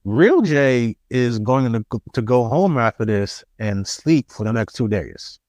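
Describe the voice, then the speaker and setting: A man with a New York accent, co-hosting a podcast in casual chat, soft-spoken and halting, often restarting his sentences with a self-deprecating air. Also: mid-range voice, lively intonation, dry recording. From Queens, New York. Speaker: podcast co-host.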